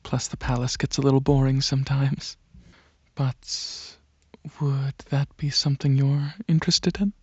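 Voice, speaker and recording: A man playing a gentle dragon alien prince in a soft-spoken ASMR roleplay, talking to the listener in a hesitant, soothing way with many pauses. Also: mid-range voice, flat delivery, breathy edge, dry recording, dull audio. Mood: soft, coaxing. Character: gentle dragon alien prince, centuries-old royal researcher, softly coaxing.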